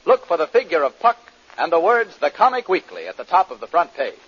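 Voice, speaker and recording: Male 1940s radio announcer, emphatic, bright, slightly high voice, muffled vintage broadcast. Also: big pitch swings, fairly quick, slightly rough. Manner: emphatic, declamatory.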